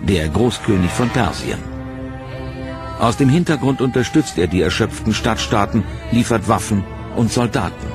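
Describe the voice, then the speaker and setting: A man narrating a history documentary in a measured, dramatic scripted delivery. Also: fairly low pitch. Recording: voice-over over loud music. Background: music bed.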